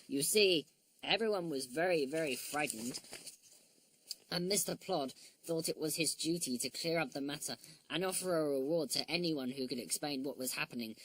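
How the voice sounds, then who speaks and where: A woman's voice: low voice, normal speed, rough recording. A woman voicing a jolly, kindly male brownie in a storybook, with a hearty manner. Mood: kindly explaining. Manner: roaring, hearty.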